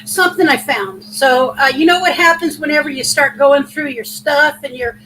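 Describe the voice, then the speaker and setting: A middle-aged woman with a big, loud voice, hosting a casual livestream in a chatty, rambling way and thanking viewers by name. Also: high-pitched, moderate pace, a little hiss or hum, low-quality recording. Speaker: livestream host.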